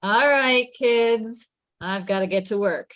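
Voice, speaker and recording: A woman's voice: fairly high pitch, slow, fairly bright tone, dry recording. Woman as young office guy, sarcastic, dry joking delivery, close dry sound, sitcom table read. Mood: wry. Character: wisecracking, sarcastic young male office worker.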